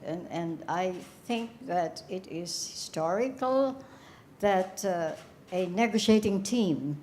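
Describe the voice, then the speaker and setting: A woman speaking as a panelist, measured and halting. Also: fairly low pitch, darkish tone, a little hiss or hum. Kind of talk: panel discussion. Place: conference hall.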